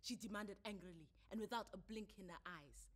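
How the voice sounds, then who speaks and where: A woman giving an expressive scripted reading of a short story. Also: mid-range voice, brisk, breathy edge. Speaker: story narrator.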